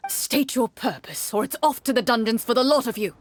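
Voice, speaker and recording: A woman's voice: a little high, animated, a little fast, no room sound. A woman voicing a suspicious, scornful male guard captain in a game, with a curt, commanding manner as she reads his lines aloud. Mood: stern. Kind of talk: live game dialogue read-aloud. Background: game music.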